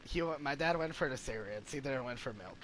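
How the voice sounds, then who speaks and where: A man giving casual, halting reaction commentary as he streams a game. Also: mid-range voice, expressive, fairly bright tone, a little hiss or hum. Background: game music.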